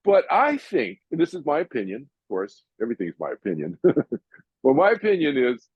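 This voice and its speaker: An older man from Massachusetts hosting an audience Q&A over a video call, chatty and animated, often restarting his sentences. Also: mid-range voice, fairly quick, slightly rough, dry recording, highs cut off. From Massachusetts, USA. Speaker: host answering audience questions.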